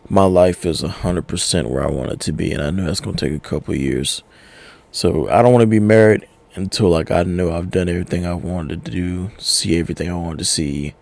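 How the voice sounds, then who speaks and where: A man's voice: deep voice, creaky, mellow. A young man hosting a music reaction video, talking in a laid-back, slangy way. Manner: laid-back, slangy, calls listener bro. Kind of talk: casual reaction commentary.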